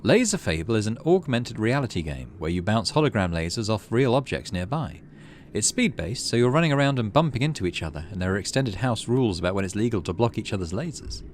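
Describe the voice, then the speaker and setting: Low-voiced man narrating, very lively pitch. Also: fast talker, few pauses, slight background noise. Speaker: let's play host. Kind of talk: casual gaming commentary mixed with reading game text aloud.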